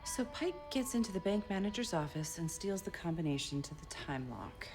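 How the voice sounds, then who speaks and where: A woman's voice: fairly low pitch, lively intonation, fairly quick, over music. An actress playing a shrewd female private detective in a period crime drama, direct and questioning. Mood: pondering.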